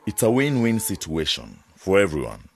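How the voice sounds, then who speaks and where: An actor playing an earnest, persuasive farm owner with a husky voice in a radio drama. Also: male voice, low-pitched, very animated, moderate pace. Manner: insistent, persuasive. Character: earnest farm owner and peanut producer bargaining for help.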